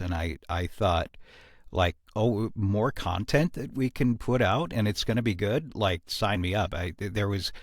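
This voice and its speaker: Low-voiced man, earnest, in a dry recording. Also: dry recording. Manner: earnest, reflective, halting with frequent pauses. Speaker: podcast host and series creator. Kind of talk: casual behind-the-scenes discussion.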